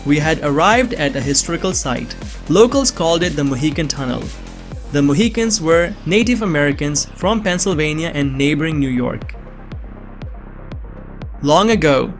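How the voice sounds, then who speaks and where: A man's voice: on the high side, animated, moderate pace, faint music behind. A young man giving earnest, scripted first-person narration of a suspense story. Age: young adult.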